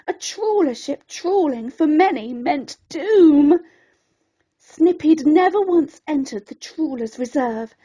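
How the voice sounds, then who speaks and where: A woman reading a rhyming children's bedtime story in a dramatic, expressive way. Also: high-pitched.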